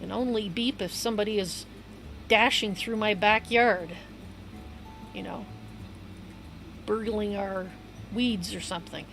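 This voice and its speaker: Hoarse, gravelly woman rambling on stream. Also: mid-range voice, unhurried, long pauses, fairly bright tone. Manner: chatty, rambling, laughs easily. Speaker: livestreamer. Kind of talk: casual rambling chat while gaming. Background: upbeat game music.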